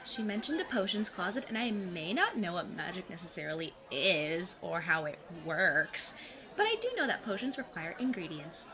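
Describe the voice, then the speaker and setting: A woman's voice: fairly low pitch, sing-song, normal speed, bright, forceful tone, heavily acted. A woman playing a plucky, overconfident fifteen-year-old girl sleuth with a cheeky, mock-formal manner in an audio drama. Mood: confident, breezy. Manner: eager, cheeky, mock-formal, self-assured.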